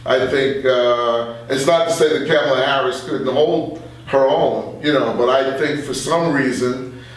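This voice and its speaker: A middle-aged man speaking as an interview guest, conversational but earnest, in a halting way with repeated phrases. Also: mid-range voice. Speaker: radio journalist interview guest. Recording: very echoey room, far from the mic.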